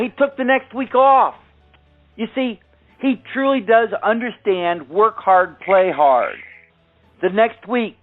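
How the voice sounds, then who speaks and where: A high-voiced man hosts a sales conference call over a narrow phone line. He delivers a prepared motivational introduction with deliberate emphasis, repeating key figures. Music plays softly behind him. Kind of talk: scripted motivational introduction of a top seller.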